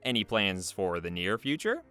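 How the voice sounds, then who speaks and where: A man with a fairly low voice and hugely expressive intonation narrates an interview. He is casual and playful, often jokey, with video game music playing behind him. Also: moderate pace. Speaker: interviewer reading out questions and answers.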